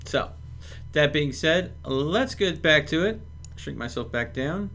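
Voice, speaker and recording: A man's voice: fairly high pitch, expressive, slow pace, fairly bright tone. A man hosting a livestream, casually talking through his game step by step and chatting with viewers. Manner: thinks aloud step by step.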